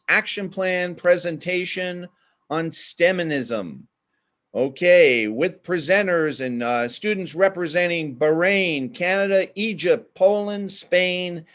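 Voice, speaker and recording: A man's voice: a little high, takes it slowly, fairly bright tone. A man moderating an event, introducing a team of student presenters.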